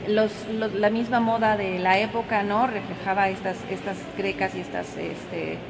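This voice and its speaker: A woman with a Mexican Spanish accent and a mid-range voice talks through the artworks, stopping to rephrase and adding little tag questions. The sound is roomy, with a long reverb tail and loud steady noise behind her. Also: medium pitch, normal speed. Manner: thoughtful, hesitant, ends phrases with tag questions. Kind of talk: gallery talk. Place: large echoing museum hall. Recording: echoey hall, loud background noise.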